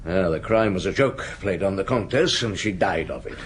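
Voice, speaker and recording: Wry, low-voiced police inspector played by a man. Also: normal speed, a little hiss or hum, natural voice. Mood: genial, probing. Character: seasoned French police chief inspector, wry, unhurried, reflective. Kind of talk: radio drama acting.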